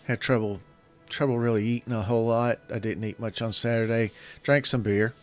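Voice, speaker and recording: A man with a slightly scratchy voice, casually commenting while he plays a strategy game and thinking aloud in halting, self-correcting phrases. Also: medium pitch, fairly even intonation. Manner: thinks aloud, halting, restarts mid-sentence. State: slightly scratchy voice from illness and yelling. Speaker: gaming channel host. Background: faint game music.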